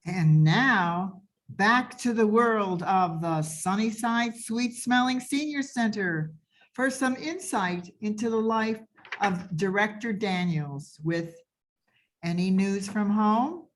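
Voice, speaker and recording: A woman's voice: mid-range voice, takes it slowly. A woman announcing in a scripted old-time radio style.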